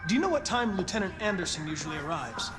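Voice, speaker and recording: An actor playing a calm, courteous young male android detective who speaks in an even, precise way, with game music behind. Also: sits high, quick pace. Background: game score music.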